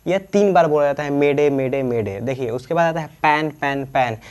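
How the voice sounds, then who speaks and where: A man's voice: on the high side, normal speed, darkish tone, smooth. A man, teaching informally, repeating himself and asking questions that he answers himself. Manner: repetitive, asks and answers own questions, frequent check-in tags. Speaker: teacher explaining aviation terms. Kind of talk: informal explainer lesson.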